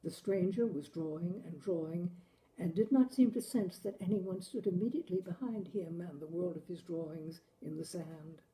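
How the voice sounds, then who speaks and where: A woman reading a literary short story aloud with expressive, dramatic delivery. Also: lowish voice, normal speed, slight background noise, rough recording. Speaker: story reader, show host. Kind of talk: literary audiobook-style story narration.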